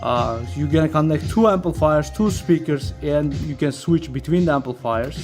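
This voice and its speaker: A man who speaks English as a second language gives a casual, unscripted product demo as a video host, talking haltingly and checking in with short tag questions. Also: on the high side. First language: not English. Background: music playing, amplifier fan.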